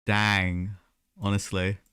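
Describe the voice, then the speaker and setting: Lower-pitched young man, casual game streamer. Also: flat delivery, slow, bright, forceful tone, clean tone. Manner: chatty, offhand, joking. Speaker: game livestreamer. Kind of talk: casual gameplay commentary. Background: game music and dialogue.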